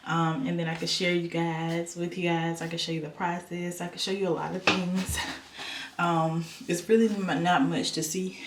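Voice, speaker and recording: A woman's voice: on the low side, flat delivery, moderate pace, roomy sound, noisy background. A young woman vlogging at home, talking casually and rambling with many ums and repeats, her voice stuffy and nasal. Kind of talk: casual vlog chat. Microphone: camera on a stand.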